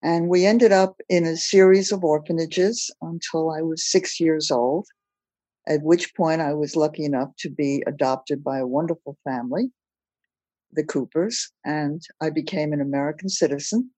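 Older woman telling her story, husky. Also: sits low, dark tone, dry recording, rough recording. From Belgium. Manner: measured, plain-spoken storytelling. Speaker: guest. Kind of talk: personal storytelling in a podcast interview.

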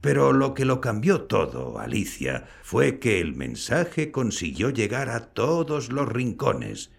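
A man acting a kindly, modest old grandfather and former scientist in an audio drama, explaining things in a measured way. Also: medium pitch, very animated, gravelly, slightly rough, heavily acted. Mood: warm, reflective. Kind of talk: scripted audio fiction acting.

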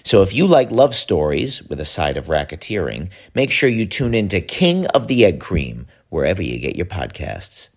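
Very deep, husky male promo announcer. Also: big pitch swings, normal speed, few pauses. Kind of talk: scripted ad read.

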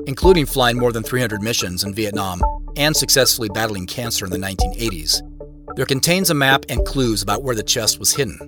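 A man acting as a reflective narrator telling his own mystery in an audio drama, in a measured, deliberate way. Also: mid-range voice, close to an everyday voice. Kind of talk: first-person scripted audio drama narration.

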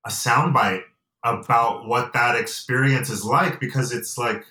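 A young man from Atlanta and Nigeria, a guest in a casual interview, talking reflectively with many pauses and fillers. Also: medium pitch, pushed and bright, distant mic. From United States and Nigeria. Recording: roomy and off-mic.

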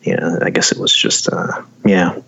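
A man's voice: unhurried, pushed and bright, dull audio. A man in his forties from North Carolina, chatting as a guest over a video call, rambling with lots of fillers and frequent laughs. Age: 40s. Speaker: guest musician.

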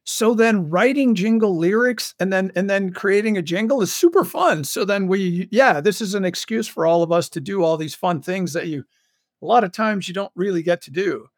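A man with a notably high-pitched voice, recorded close and dry. He talks as a guest in a casual interview, enthusiastically stressing his words as he explains his creative work. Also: normal speed, no echo. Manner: enthusiastic, animated storytelling with frequent emphasis. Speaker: guest, audio fiction creator and commercial voice director.

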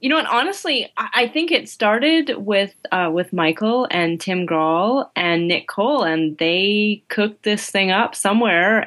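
A woman's voice: medium pitch, a bit bright. A woman guest author in a podcast chat who repeats her words and keeps checking in with "right?" and "you know". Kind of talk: podcast conversation.

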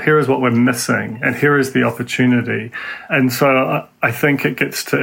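A man with a New Zealand accent hosting an interview podcast, talking in halting bursts with many restarts. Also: medium pitch, creaky, a bit bright. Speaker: podcast host.

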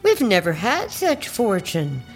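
Sly older great-aunt, animated delivery. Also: female voice, mid-range voice, a little slow, faint music behind, low-quality recording. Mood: ominous, knowing. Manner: coaxing, condescending endearments. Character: sly, folksy great-aunt, sweetly evasive and nudging. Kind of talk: audio drama acting.

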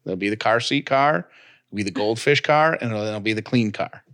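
A man co-hosting a podcast with his wife, talking in an animated, rambling, self-mocking way. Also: lowish voice, brisk. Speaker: podcast co-host. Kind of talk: casual chat.

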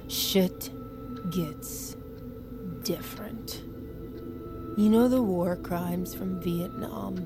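An actress playing a young army recruit in an audio drama, sarcastic, blunt and quick to swear. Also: female voice, mid-range voice, close to an everyday voice. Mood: grave, defiant. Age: young adult. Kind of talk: naturalistic audio drama acting.

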